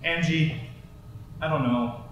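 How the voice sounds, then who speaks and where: An actor playing a brisk, businesslike newspaper editor, giving instructions in a naturalistic way. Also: male voice, on the high side, highs cut off. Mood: doubtful, relenting. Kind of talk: naturalistic film acting. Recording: very echoey, distant microphone, faint noise.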